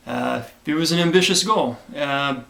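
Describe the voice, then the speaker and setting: Hoarse, grateful man with gravelly voice. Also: fairly high pitch, unhurried, bright, forceful tone, reverberant. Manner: earnest and grateful, many fillers. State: hoarse from a cold. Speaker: comic creator giving a campaign update. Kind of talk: casual direct-to-camera talk.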